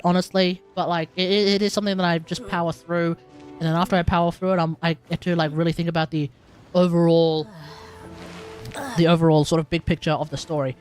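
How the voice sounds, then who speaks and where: A woman streamer chatting casually as she wraps up a stream, rambling with lots of "like" and laughing. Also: lowish voice. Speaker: game streamer.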